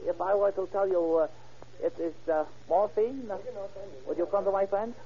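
A man playing a small, nervous, evasive go-between in a radio crime drama, speaking halting broken English. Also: sits high, slight background noise. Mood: nervous, secretive. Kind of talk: old-time radio crime drama acting. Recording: muffled vintage radio broadcast.

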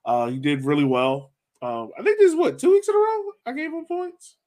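A man's voice: extremely high pitch, fairly quick, darkish tone. Casual man talking sports. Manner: informal, conversational. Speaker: podcast host.

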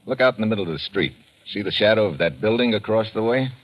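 An actor with an American accent playing a wry, unflappable newspaper correspondent in an old radio drama, with dry, casual delivery. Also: male voice, on the low side, lively intonation, fast talker, highs cut off. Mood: cool, wisecracking. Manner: dry, wisecracking, casual slang. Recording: noisy vintage radio recording.

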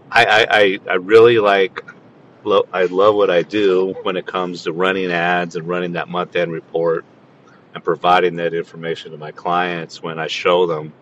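A man's voice: fairly bright tone, muffled recording. A man hosting his podcast from his car, talking casually in a rambling, self-amused way with many restarts. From Tucson, Arizona. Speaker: podcast host. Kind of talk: casual solo podcast monologue. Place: in a car driving. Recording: faint road rumble from the car. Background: car engine rumble.

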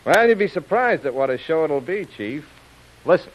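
A man's voice: on the high side, sing-song, moderate pace. An actor playing a scheming, cocky gangster henchman with slangy, conspiratorial tough talk in a 1930s radio serial style. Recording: muffled old radio recording.

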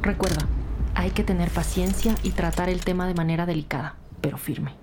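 A woman's voice: on the low side, brisk, noisy background, natural voice. A woman playing a composed, methodical police detective in an audio drama, firm and formal in manner. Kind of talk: scripted audio drama acting.

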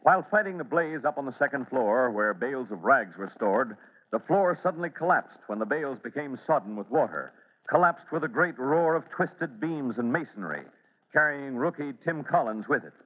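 A man's voice: medium pitch, expressive, moderate pace, phone-quality sound. A man narrating an old-time radio serial as announcer, in a dramatic, emphatic style. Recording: thin old radio recording.

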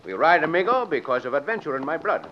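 A courteous, bold Mexican cowboy hero with a Spanish accent. His fairly high voice swings expressively through formal phrases, on a muffled, faintly noisy old radio western recording. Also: very animated, fast talker. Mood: easygoing, philosophical. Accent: Spanish-accented English, Mexican.

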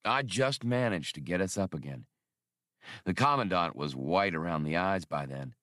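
A man's voice: low-pitched, animated, moderate pace, a bit bright, no echo, clean studio sound. A man voicing a seasoned, easygoing ex-officer in a space adventure audiobook, spinning yarns with wry mildness. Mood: wry, reminiscing. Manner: wry, mild storytelling. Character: seasoned, easygoing male ex-officer telling old war stories.

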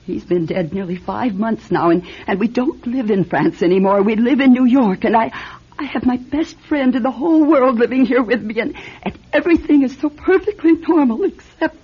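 An actress playing an anxious, sleepless wealthy widow in a radio drama, fretful and halting, often repeating her words. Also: on the high side, animated. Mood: edgy, fragile. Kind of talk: old-style radio drama acting. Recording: dull, husky-sounding radio recording with music.

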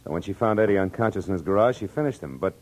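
Hard-boiled American detective narrator: deep, laconic, wry, 1940s radio noir. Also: male voice, lively intonation, very fast. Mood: matter-of-fact, wry. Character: hard-boiled male private eye, wry, world-weary. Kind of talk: 1940s radio drama acting with first-person narration. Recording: vintage 1940s radio broadcast, music underneath.

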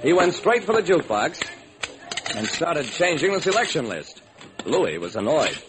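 Old time radio detective narrating his case, wry, very animated, bit of hiss. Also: on the high side. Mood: wry, brisk. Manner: wry, brisk storytelling. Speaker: narrator and lead character. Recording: slightly noisy old radio recording.

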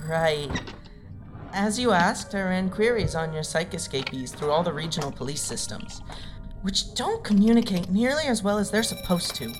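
A woman's voice: on the low side, very animated, normal speed. A woman playing a federal technical analyst in an audio drama, with a wry, rambling, informal way of talking. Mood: wry, businesslike. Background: music bed.

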